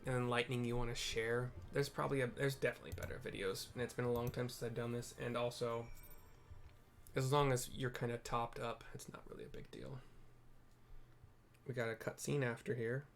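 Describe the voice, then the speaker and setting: A man commentates on a video game in a casual, unscripted way, with a medium-pitched voice. He thinks aloud as he goes, rambling through explanations and often rephrasing what he means. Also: little pitch movement, a little fast, a little hiss or hum. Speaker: gameplay commentator.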